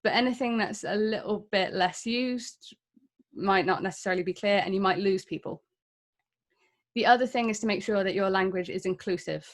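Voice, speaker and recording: A woman's voice: medium pitch, normal speed. Candid English woman giving a tech talk. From England. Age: 30s. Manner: candid, self-deprecating, conversational. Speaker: conference speaker.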